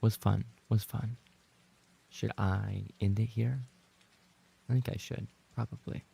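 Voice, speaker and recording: Male streamer, lowish and somewhat dark voice, loose casual banter with his audience. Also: level tone, takes it slowly, creaky, slight background noise. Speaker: live streamer and voice actor. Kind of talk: casual livestream chat with viewers.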